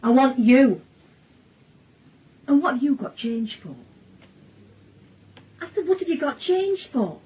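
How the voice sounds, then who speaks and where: A distraught Northern English mother, high husky voice. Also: sing-song, takes it slowly, pauses often, full character voice. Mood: hurt, furious. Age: middle-aged. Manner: accusing, wounded, emotional. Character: distraught, bitter working-class mother of a wayward son. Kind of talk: radio drama acting. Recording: dull radio drama recording.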